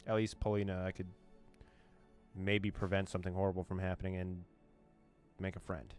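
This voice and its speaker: Low-voiced man doing casual game commentary. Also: flat delivery, moderate pace, darkish tone. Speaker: gaming channel host. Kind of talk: let's play commentary, reading game text aloud. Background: game music.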